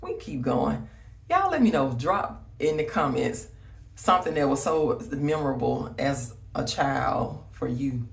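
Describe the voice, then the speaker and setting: A woman's voice: very deep voice, sing-song, a little slow, gravelly, far from the mic. A woman from Alabama with a Southern accent, chatting casually and rambling as she talks straight to her viewers. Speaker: vlogger. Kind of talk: casual reminiscing chat to viewers. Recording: roomy echo, voice slightly off-mic.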